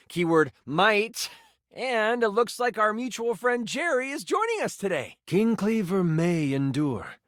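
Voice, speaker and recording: An actor playing a warm-hearted dungeon master in a video game, narrating fantasy adventures theatrically with dramatic pauses. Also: male voice, high voice, no echo, clean studio sound, exaggerated voice. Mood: friendly, playful.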